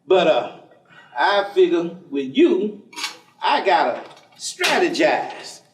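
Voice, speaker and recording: Actor as high-pitched folksy ex-convict, echoey stage. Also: male voice, very animated, slow, background noise, full character voice. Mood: teasing, coaxing. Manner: drawling, chuckling, colloquial, coaxing. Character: folksy, streetwise ex-convict host, persistent and teasing. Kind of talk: staged play reading before an audience. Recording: echoey stage room, distant microphone.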